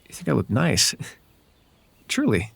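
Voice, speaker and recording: Casting: male actor as flirtatious fae wanderer for ASMR roleplay. Husky, animated delivery, gentle and courteous with playful hesitation. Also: medium pitch, slow pace, no echo, heavily acted. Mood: flattering, bashful.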